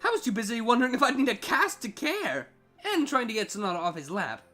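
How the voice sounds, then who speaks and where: Irritable, haughty cartoon siren, female actor, biting sarcasm, exasperated and very animated. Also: a little high, fast talker, soft background music. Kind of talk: storybook fan fiction reading.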